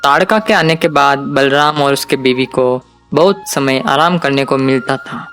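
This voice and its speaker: A man's voice: mid-range voice, a little slow, a bit bright, soft background music. A man narrating a scripted cartoon folk tale for children as storyteller.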